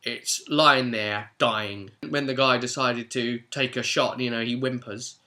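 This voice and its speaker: Bright-voiced British man recapping a game. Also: medium pitch, steady tone, a little slow. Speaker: game master and host recapping a tabletop role-play session. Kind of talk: casual spoken recap, storytelling.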